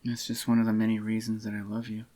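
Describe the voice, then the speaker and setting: A man doing a soft-spoken, intimate ASMR roleplay as an adoring, possessive boyfriend, in a slightly groggy, unhurried murmur. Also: on the low side, completely flat delivery. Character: adoring possessive young boyfriend, tender and clingy. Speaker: ASMR voice actor.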